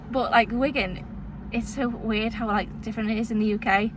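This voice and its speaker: A woman with a soft Liverpool accent tinged with Lancashire, vlogging in a chatty, rambling way, full of fillers and little laughs. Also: a little high, steady tone, normal speed, background noise. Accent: soft Liverpool with Lancashire influence. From Liverpool, England. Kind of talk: casual vlog chat. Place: town street, then in a parked car.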